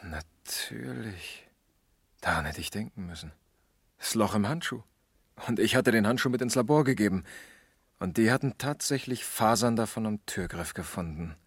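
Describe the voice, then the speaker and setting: An actor playing an eager, earnest junior police detective who thinks aloud, in a radio crime drama from the 1970s. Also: male voice, on the low side, expressive, normal speed, slightly rough, dry recording. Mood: puzzled, speculative.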